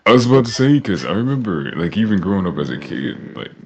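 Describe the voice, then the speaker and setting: A young adult man chatting on a group podcast, quick to react and joke. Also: low-pitched, sing-song. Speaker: podcast co-host. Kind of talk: casual group chat.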